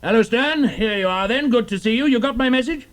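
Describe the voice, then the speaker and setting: An actor playing a gruff, commanding British army general in an audio drama, with clipped, authoritative, excitable delivery. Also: male voice, extremely high pitch, brisk. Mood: brisk.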